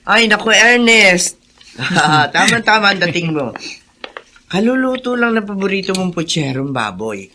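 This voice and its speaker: An actress playing a warm, bustling, motherly household cook in a Filipino radio drama, effusive and chatty. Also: medium pitch, sing-song, a little slow, slight background noise. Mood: bustling, welcoming.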